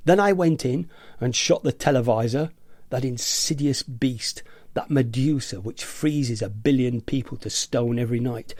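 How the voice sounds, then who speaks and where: A man's voice: mid-range voice, normal speed, a little mellow, no echo, clean studio sound, lightly acted. A man acting an indignant, eccentric rebel in a radio play, theatrical and emphatic in his delivery. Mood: gleeful confessing. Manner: theatrical, emphatic, mimics nagging voices. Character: indignant, eccentric male rebel against noisy gadgets, smugly defiant. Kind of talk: radio play monologue, amateur dramatic reading.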